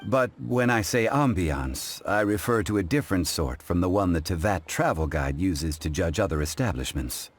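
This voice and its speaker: An actor playing a composed, refined gentleman connoisseur in a game, formal, measured and politely exacting. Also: low voice, expressive. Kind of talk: English game dub cutscene acting.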